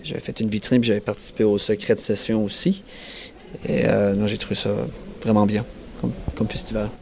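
Halting young Acadian man, low voice. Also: steady tone, creaky, a little mellow, a little hiss or hum. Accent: Acadian French. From New Brunswick, Canada. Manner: halting, searching for words, many restarts. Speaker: singer-songwriter guest. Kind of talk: casual festival interview.

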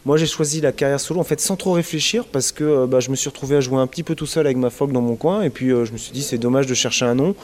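A man's voice: medium pitch, fast talker, hardly any pauses, darkish tone. A man in his thirties from Brittany, answering interview questions in a casual, hesitant way with many fillers and restarts. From Brittany, France. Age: 30s. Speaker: interviewed musician.

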